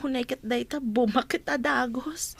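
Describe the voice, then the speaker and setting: An actress playing a gentle, troubled young runaway woman in an Ilocano radio drama. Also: high voice, normal speed, vocal fry, breathy edge, no echo, full character voice. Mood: sad, pensive.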